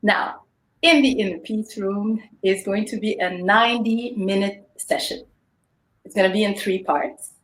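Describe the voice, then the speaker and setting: Woman introducing a meditation stream, echoey room. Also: mid-range voice. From Canada. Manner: friendly, explains slowly with many ums. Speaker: co-host of an online meditation session. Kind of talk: warm welcoming introduction. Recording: roomy echoey sound.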